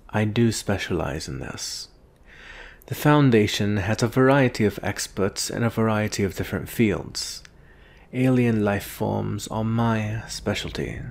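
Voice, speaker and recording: A man playing a calm, condescending alien specialist from a secret agency in a measured, deliberate ASMR roleplay monologue. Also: fairly low pitch. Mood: measured, knowing. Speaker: roleplay performer.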